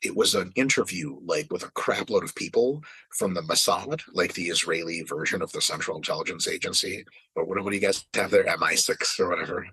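A man's voice: sits low, fairly quick, sharp tone, husky, dry recording, low-quality recording. A man in his late thirties in casual podcast chat, ranting with emphatic, profane energy. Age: 30s. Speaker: fitness podcast regular.

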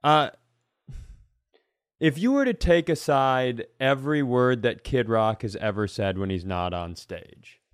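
A man's voice: medium pitch, expressive, measured pace, clear, resonant tone, no room sound, studio quality. A man co-hosting a casual comedy podcast, rambling as he talks and often correcting or restating himself. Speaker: podcast co-host.

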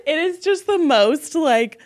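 A woman's voice: high-pitched, animated, slow pace. A woman co-hosting a book podcast, chatting casually with lots of "like" and frequent giggles. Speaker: podcast co-host. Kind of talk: casual chat.

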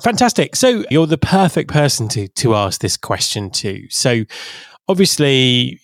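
A man's voice: mid-range voice, sing-song, measured pace, no room sound, clean studio sound. A man hosting a podcast, asking his guest questions in an upbeat, conversational way. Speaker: podcast host. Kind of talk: casual interview hosting.

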